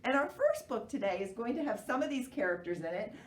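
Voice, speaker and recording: American lady doing kids storytime, very expressive, roomy far-off mic with a bit of noise. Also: a little high, fast. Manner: animated, simple explanations with questions to young children. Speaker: children's library storytime host. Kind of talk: children's storytime presenting and read-aloud. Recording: roomy, distant microphone, faint noise.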